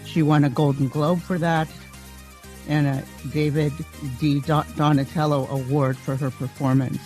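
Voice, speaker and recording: A woman's voice: low-pitched, flat delivery, normal speed, dark tone, soft background music. A woman podcast host introducing her guest admiringly, often restarting and stumbling over words. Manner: admiring, with frequent restarts and fillers.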